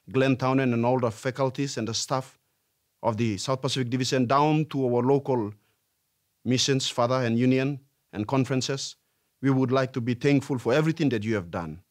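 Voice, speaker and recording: A young man from Papua New Guinea, a Bible worker, leading a slow, reverent prayer in a dark studio. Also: medium pitch.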